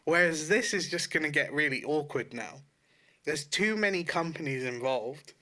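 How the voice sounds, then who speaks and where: A man's voice: fairly high pitch, expressive, moderate pace, bright, forceful tone. A man co-hosting a podcast, chatting casually in an informal, sweary way about video games. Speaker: podcast co-host.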